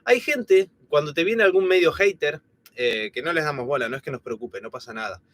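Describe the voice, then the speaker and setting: A man in his thirties from Argentina, his Argentine Spanish accent softened by years in Spain, chatting casually as co-host of a live stream with many fillers and restarts. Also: fairly high pitch, fairly quick. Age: 30s.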